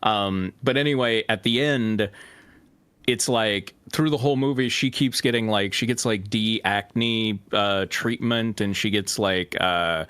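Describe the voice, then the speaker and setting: A man chatting casually with a group as a show co-host, rambling and halting as he goes. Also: fairly low pitch, a bit bright, smooth, no room sound. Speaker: co-host of a fanfiction reading show.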